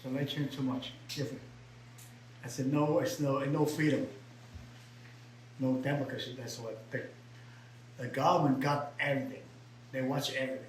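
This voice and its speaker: An older man from Vietnam speaks English with a Vietnamese accent and halting, repetitive phrasing, sometimes turning emphatic. His voice has medium pitch. The room sounds echoey, with a faint hum underneath. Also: steady tone. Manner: halting broken English, many repeats, rising to emphatic shouts. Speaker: interviewee. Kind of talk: personal storytelling.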